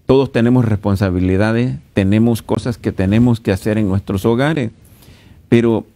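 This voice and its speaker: Low, mellow Central American preacher man. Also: no room sound. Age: middle-aged. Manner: earnest, addresses listeners directly as brothers. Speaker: pastor teaching at a marriage retreat. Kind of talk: conversational preaching.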